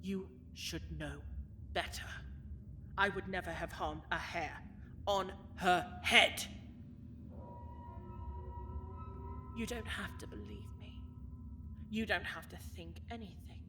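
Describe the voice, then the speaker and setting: A woman's voice: fairly low pitch, lively intonation, a little slow, slight background noise. A woman playing a cold, bitter villainess who rules a dungeon, speaking with haughty, theatrical menace in a fantasy audio drama. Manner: haughty, menacing, theatrical, swinging from hushed to forceful.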